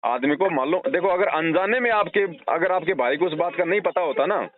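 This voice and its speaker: A man's voice: a little high, lively intonation, fairly quick. Man counselling over a tinny phone line. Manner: earnest, explanatory, uses everyday analogies. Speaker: religious counsellor advising a devotee. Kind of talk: devotional advice on a phone call. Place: phone call.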